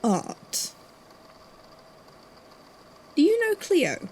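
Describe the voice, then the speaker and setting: A woman in a podfic audio drama playing a probing, dryly self-deprecating questioner with a soft, husky voice. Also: sits high, wildly swooping pitch, drawn-out words, exaggerated voice. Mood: curious, dry.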